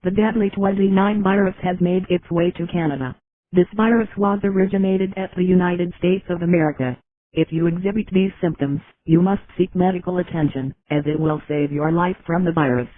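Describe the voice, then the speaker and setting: A man's voice: high voice, fairly even intonation, brisk. A man's synthetic voice giving a formal, scripted government warning as an emergency announcer. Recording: tinny radio-like alert audio.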